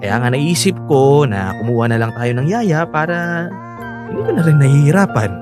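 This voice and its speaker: A man's voice: on the high side, animated, normal speed, over music, lightly acted. A man playing a tired, needy young husband craving his wife's attention in a Filipino radio drama. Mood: pleading.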